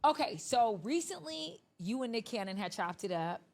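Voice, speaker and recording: A woman hosting a podcast, chatting in an animated way and laughing easily. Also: on the high side, normal speed. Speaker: host. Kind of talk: casual chat.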